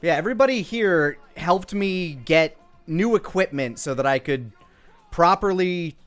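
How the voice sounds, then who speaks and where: A man's voice: high voice, expressive, a little slow, fairly bright tone, faint music behind. A man giving casual, rambling, self-deprecating commentary on his own old videos.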